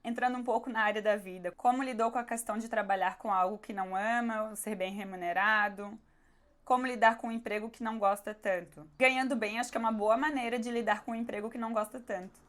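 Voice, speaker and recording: A hoarse woman with a Brazilian accent, talking candidly and conversationally as a YouTuber answering viewer questions. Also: fairly high pitch, steady tone, fast, a bit bright. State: hoarse, recovering her voice. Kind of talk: casual question-and-answer vlog.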